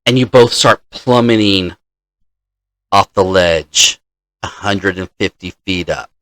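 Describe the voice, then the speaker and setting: A man's voice: sits low, slow pace, a bit bright, no room sound. A man hosting as a dungeon master, telling the story of a game session in an explanatory way and acting out players' lines.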